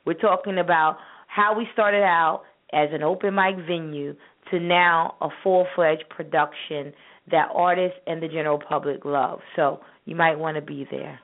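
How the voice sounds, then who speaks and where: Low-voiced woman on a phone line. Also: fairly even intonation, normal speed. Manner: earnest, encouraging. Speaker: spoken word artist and producer, show regular. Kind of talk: encouraging talk on a radio show. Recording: thin phone-line audio.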